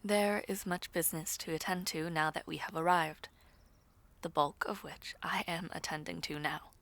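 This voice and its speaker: A woman voicing a devoted noble lord in an audio roleplay, measured, formal and tender, as if reading a love letter. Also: lowish voice, fairly even intonation, creaky, a bit bright, voice pushed down. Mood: tender, composed. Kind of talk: scripted audio roleplay acting.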